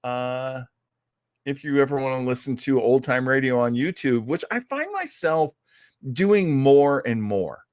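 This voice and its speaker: Slow-talking man hosting casually. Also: mid-range voice, animated, darkish tone, no room sound, studio quality. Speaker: host of an old-time radio show online. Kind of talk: casual, rambling chat.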